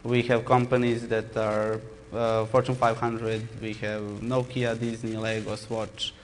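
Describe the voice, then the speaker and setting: A man with a Serbian accent talks through his product in English, his pitch staying flat and unvaried. He restarts words and phrases often, over a faint background hum. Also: in a large hall. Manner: halting, frequent restarts and cut-off words. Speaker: software startup founder on a panel. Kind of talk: panel introduction.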